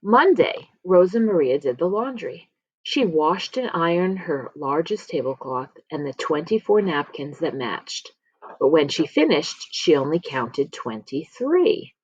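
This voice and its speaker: Very animated woman reading a picture book. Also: sits low, breathy edge, no room sound. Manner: expressive, dramatic pauses and emphasis. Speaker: read-aloud storyteller. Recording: slightly dull, lo-fi close recording.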